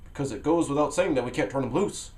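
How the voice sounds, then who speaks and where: A man reading a novel aloud on a vlog, expressive, with sudden leaps in pitch and loudness and a low, hushed voice for inner thoughts. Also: fast talker. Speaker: vlogger reading a book aloud. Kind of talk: dramatic read-aloud of a novel.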